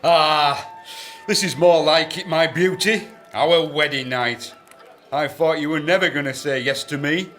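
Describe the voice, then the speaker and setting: An actor playing a pompous, boisterous and leering duke, a bridegroom in a comic period radio play. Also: male voice, a little high, unhurried, a bit bright. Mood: lusty, triumphant. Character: boastful, lusty, boisterous duke, big hairy bridegroom. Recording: radio play with clear music behind.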